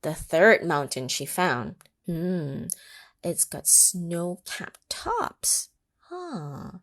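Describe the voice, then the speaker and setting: A woman reading a picture book aloud to children, pausing to ask them questions and sometimes dropping to a whisper. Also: on the low side, dry recording, studio quality. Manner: animated, asks the listening children questions; drops into whispers for effect. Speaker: children's story reader. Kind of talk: read-aloud storytime for children.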